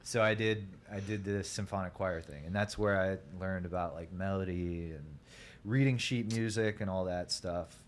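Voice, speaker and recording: A young man from Ohio with a deep voice, a podcast guest telling his story in a rambling, laid-back way full of fillers. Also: normal speed, a little hiss or hum. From Columbus, Ohio. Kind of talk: casual podcast chat.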